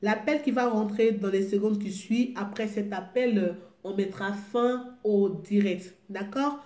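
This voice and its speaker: A woman's voice: medium pitch, a little slow, darkish tone. A woman with a cold hosting a live call-in show, chatting casually, hesitating with many fillers and repeating herself. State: has a cold. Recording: echoey room with music playing.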